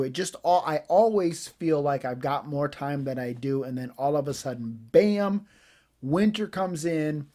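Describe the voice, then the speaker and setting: Man, middle-aged, podcast host, folksy, rambling, fillers, slightly high voice. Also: darkish tone. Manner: rambling, folksy, many ums and uhs. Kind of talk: casual solo podcast chat.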